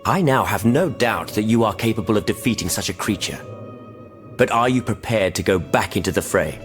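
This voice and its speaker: French revolutionary leader, male actor: low, courteous, formal, rallying, game music underneath. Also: animated, brisk, close to an everyday voice. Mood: earnest, determined. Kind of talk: video game voice acting. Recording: game dialogue over music.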